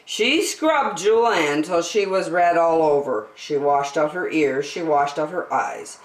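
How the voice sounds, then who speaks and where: A woman reads a children's story aloud at an unhurried pace. Her pitch swings widely as she stresses words with care, and the room sounds echoey. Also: recorded from a distance. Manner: expressive, with deliberate emphasis. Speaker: children's story-time reader. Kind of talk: animated picture-book read-aloud.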